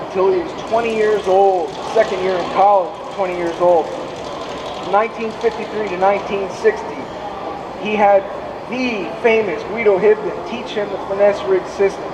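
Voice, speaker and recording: A man with a hoarse voice presenting a fishing seminar at an expo, explaining at length with frequent restarts. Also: high-pitched. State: hoarse from speaking. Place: expo seminar hall, indoors. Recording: echoey hall, distant mic, air-conditioning hum.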